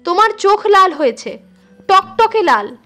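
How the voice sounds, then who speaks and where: A high, bright voice with music behind it: a frightened village wife as a woman narrator plays her. She questions and pleads with urgent worry, in the style of an audio horror story. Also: very animated, measured pace, heavily acted. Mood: worried.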